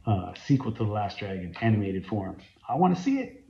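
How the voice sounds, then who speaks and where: A man's voice: lowish voice, big pitch swings, fairly quick, creaky, warm tone, a little hiss or hum. A Canadian man in his forties talks earnestly and enthusiastically to his phone camera in a small room, with plenty of ums and uhs. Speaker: fan site founder and interviewee. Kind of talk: casual interview talk to camera. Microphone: phone camera at arm's length. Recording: roomy, slightly dull phone audio.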